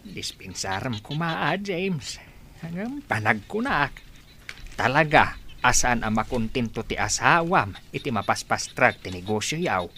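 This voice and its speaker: A man's voice: mid-range voice, big pitch swings, a little slow, sharp tone, slight background noise, exaggerated voice. A man with an Ilocano Filipino accent playing a grumbling, money-minded business owner in a radio drama, complaining emphatically. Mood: grudging.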